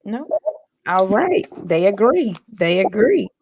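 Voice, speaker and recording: A woman hosting a casual chat, upbeat and asking quick questions. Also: mid-range voice, very animated, a little mellow, husky, dry recording, highs cut off. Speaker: host.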